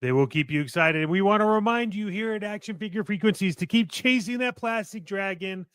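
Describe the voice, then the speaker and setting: Clear, high-pitched male podcast host. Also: a little fast, fairly bright tone, dry recording, clean studio sound. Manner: theatrical, playfully self-promoting. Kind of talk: podcast sign-off banter.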